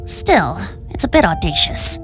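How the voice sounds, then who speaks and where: A woman's voice: fairly low pitch, big pitch swings, unhurried, fairly bright tone, faint music behind, full character voice. A woman voicing a boyish, playful young male bard in a video game, with flowery, theatrical phrasing. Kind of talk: animated video game voice acting.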